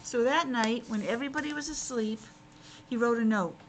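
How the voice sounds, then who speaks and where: A woman's voice: on the high side, moderate pace. A woman teacher reading a picture book aloud to young children, breaking off for simple conversational asides. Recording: clearly noisy background.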